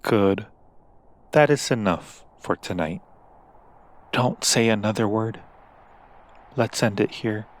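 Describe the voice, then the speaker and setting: Man voicing a slow, low seductive demon. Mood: seductive, hungry. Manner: slow, silky persuasion with formal, deliberate wording. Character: seductive, coaxing male demon courting a mortal. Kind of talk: ASMR audio roleplay.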